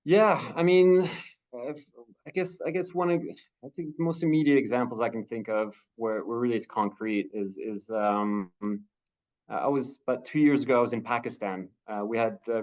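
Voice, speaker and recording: A man speaking as a humanitarian spokesperson on a video call, answering questions in a halting way, with many fillers and restarts. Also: fairly low pitch, darkish tone, dull audio.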